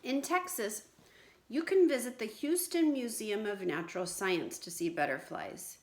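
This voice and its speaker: A woman's voice: medium pitch, expressive, measured pace, darkish tone. American woman teacher reading aloud, echoey room. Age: middle-aged. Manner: clear, simple explaining with questions to listeners. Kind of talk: read-aloud lesson for young children with explanations and questions. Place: small indoor room at home, seated on a bench. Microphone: camera or phone a short distance away.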